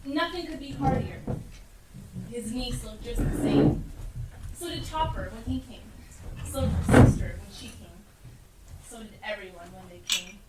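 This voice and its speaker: A noisy, echoey live stage recording carries a woman as a storybook narrator. Her voice is fairly high, and she tells the tale dramatically from a little distance. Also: moderate pace, pauses often, close to an everyday voice. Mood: delighted. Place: theatre stage, live performance.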